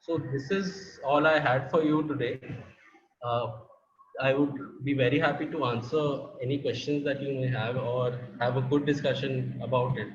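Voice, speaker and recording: A man's voice: medium pitch, fairly even intonation, moderate pace, roomy sound, lo-fi sound, a little hiss or hum. A man from India with an Indian English accent, giving a technical workshop presentation over a video call, explaining step by step with fillers and restarts.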